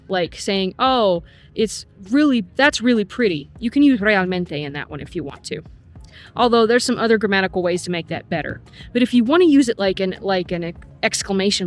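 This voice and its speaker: A middle-aged woman, a Spanish teacher, explaining phrases in a casual vlog lesson while walking outdoors, slowing down to model each phrase. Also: fairly high pitch.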